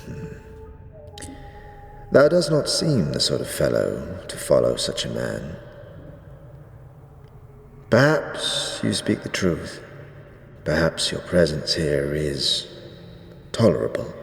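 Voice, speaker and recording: Ancient skeleton high priest, solemn fantasy drama, deep voice that rises and falls a lot, big echo. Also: male voice, very animated, creaky, full character voice. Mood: wary, measured. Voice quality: deep, drawn-out delivery. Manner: archaic, grave, ceremonious speech. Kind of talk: fantasy audio drama acting. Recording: echoey reverberant hall, faint noise.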